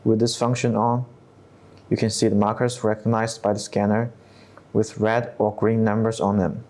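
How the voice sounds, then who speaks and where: A man in his thirties narrating a scripted software tutorial step by step, with non-native English phrasing. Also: fairly low pitch, flat delivery, normal speed. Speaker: tutorial presenter.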